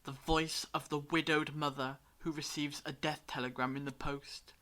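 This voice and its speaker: An actor playing a knowing time traveller in an audio drama, speaking in a measured, grave, explanatory way. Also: mid-range voice, level tone, pushed and bright, natural voice.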